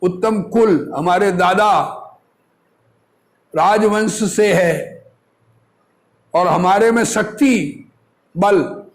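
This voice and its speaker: A man's voice: sits high, extremely slow, pauses often. A man teaching scripture in a religious discourse, reading aloud and explaining it in a didactic, question-and-answer way. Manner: didactic, asks rhetorical questions and answers them.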